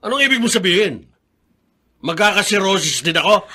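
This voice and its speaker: An actor playing a bitter, stubborn grown son in a radio drama, defiant and scornful, with a Filipino accent. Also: male voice, high voice, big pitch swings, a little fast, sharp tone, heavily acted. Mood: irritated, scornful. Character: bitter, stubborn grown son, hard-drinking tricycle driver.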